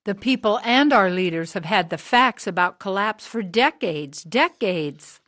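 A woman's voice: medium pitch, lively intonation, unhurried, a bit bright, no echo, clean studio sound. Woman playing a hard, challenging older woman. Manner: challenging, direct. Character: hard, driven sixty-year-old woman in a radio drama.

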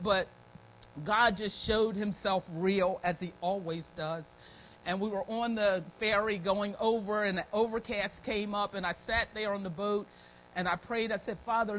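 A middle-aged American woman giving an informal, folksy, devout sermon as a church elder, her throat slightly scratchy and dry. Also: mid-range voice. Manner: folksy, devout, repeating phrases of thanks. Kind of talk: informal sermon with personal testimony and prayer. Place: church meeting room, at a pulpit. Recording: noisy live church stream recording.

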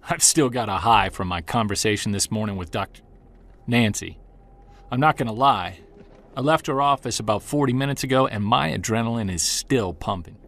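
An actor playing an earnest male Bigfoot investigator who narrates in the first person, measured and defiant toward skeptics. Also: on the low side, fairly bright tone. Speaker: narrator and lead character. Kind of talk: audio drama narration.

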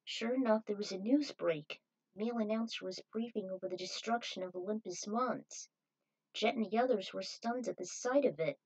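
A woman's voice: mid-range voice, moderate pace, darkish tone, low-quality recording. A woman reading fan fiction aloud as an amateur narrator. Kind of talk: amateur story narration with acted dialogue.

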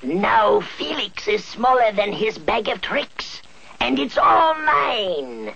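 Gravelly, scheming old cartoon professor. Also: male voice, high-pitched, very animated, slow pace, lo-fi sound, a crowd in the background, heavily acted. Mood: gloating. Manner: stammering, muttering, gloating cackle. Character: scheming, covetous old male villain professor. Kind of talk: vintage TV cartoon acting.

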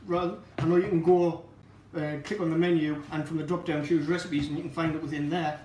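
A man's voice: fairly high pitch, level tone, fairly quick, darkish tone, recorded from a distance, slight background noise. A man explaining a recipe as he mixes, practical and matter-of-fact. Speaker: guest baker. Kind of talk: explaining a recipe while working. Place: large home-style kitchen. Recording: echoey kitchen from off-mic.

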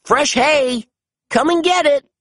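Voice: high voice, slow pace, a bit bright, no echo, clean studio sound, pitched above the performer's own voice. A storybook reader voicing an upbeat, heroic boy leader with encouraging exclamations. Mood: cheering. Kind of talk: children's storybook character reading.

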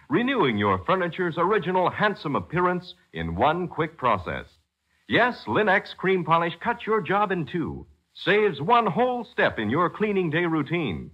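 A man's voice: fairly high pitch, slightly rough. A man reading as a 1940s radio announcer, polished and emphatic like a salesman. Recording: muffled 1940s radio recording.

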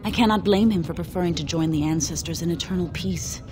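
Brief: actress as a proud, solemn dwarf noblewoman in a fantasy game; lowish voice, measured delivery. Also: background noise, natural voice. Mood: grave. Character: proud young female dwarf noblewoman, grave. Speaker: game character. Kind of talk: video game voice acting. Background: game music.

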